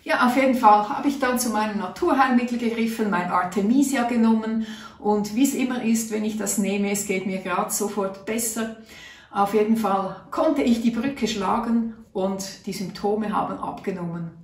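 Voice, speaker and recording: A woman's voice: medium pitch, moderate pace, recorded from a distance. A middle-aged woman, telling a personal story to camera in an earnest, chatty way, seated at a table in a small room. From Switzerland. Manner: chatty, earnest, acts out her own thoughts. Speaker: natural health vlogger. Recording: roomy sound from off-mic.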